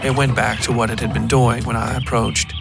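A man reading scripted dark fiction as a story narrator. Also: mid-range voice, level tone, fairly quick, vocal fry, music in the background.

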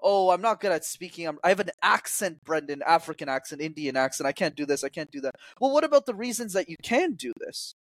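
A young man in his twenties speaking as a communication coach in a casual interview, lively, acting out quoted voices with sudden jumps in pitch. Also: fast talker, hardly any pauses, no room sound.

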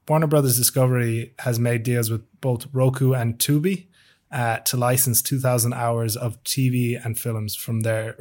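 Casual male podcast host, mid-pitched.

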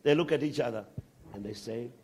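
A man preaching a sermon to a congregation, animated, swinging from shouts to hushed asides. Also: medium pitch, brisk, slightly rough.

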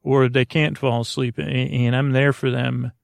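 A man with a creaky voice hosting a sleep podcast, speaking in a soothing, meandering bedtime monologue that halts and trails off into tangents. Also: medium pitch, little pitch movement, moderate pace, dry recording, studio quality. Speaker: podcast host.

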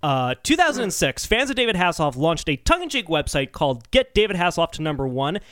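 A man co-hosting a podcast, telling researched stories in a casual, animated chat. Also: a little high, fast, no echo, clean studio sound. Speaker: podcast co-host.

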